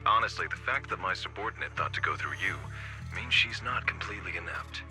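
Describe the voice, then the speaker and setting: An actor playing a stern, curt commissioner in a video game, speaking formally and with composed authority. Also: male voice, deep voice, animated, brisk, sharp tone, faint music behind, exaggerated voice.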